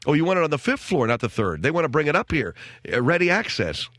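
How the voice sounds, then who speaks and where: A man's voice: a little high, sing-song, fast talker, fairly bright tone, no echo, clean studio sound. An American man hosting casual, rambling talk radio with a wry, grumbling, sardonic manner. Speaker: radio talk show host. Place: radio studio. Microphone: close studio microphone.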